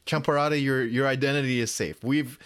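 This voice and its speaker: A man's voice: mid-range voice, steady tone, fairly quick, fairly bright tone, clean tone. A man with a Filipino accent chatting casually on a livestream, easygoing, often repeating words as he starts a thought. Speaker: stream co-host. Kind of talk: casual chat with friends on a livestream.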